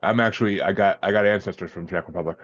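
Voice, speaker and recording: A man's voice: low-pitched, fast, no echo, muffled recording. A man from Massachusetts, a podcast guest, chatting in a laid-back, hesitant way and often repeating his words. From Massachusetts, USA. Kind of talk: casual interview chat.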